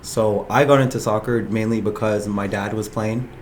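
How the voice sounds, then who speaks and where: Man, twenties, lowish voice, relaxed podcast guest storytelling, slight background noise. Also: moderate pace. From Ottawa, Canada. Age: 20s. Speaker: podcast guest, soccer player. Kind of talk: casual personal storytelling in an interview.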